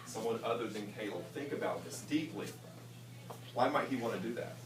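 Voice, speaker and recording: A male English teacher leading a class discussion in a brisk, questioning way. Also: fairly low pitch, animated, background noise. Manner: brisk, questioning, plays off students. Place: school classroom. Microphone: camera across the room. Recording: roomy classroom from far off.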